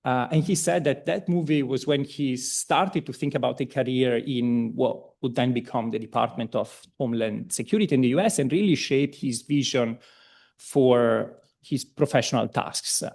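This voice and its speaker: An Italian-accented man presents at an academic seminar, his speech full of ums, restarts and relaxed, self-deprecating asides. His voice has a gravelly, creaky edge and sits at a middle pitch. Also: normal speed. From Italy. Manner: hesitant, many ums and restarts. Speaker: seminar speaker.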